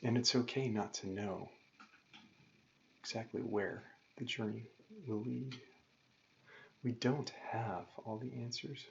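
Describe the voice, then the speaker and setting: A man leading a guided meditation as a yoga teacher, calm and gentle, giving measured instructions with pauses. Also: lowish voice, vocal fry. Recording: dull lo-fi recording with faint noise.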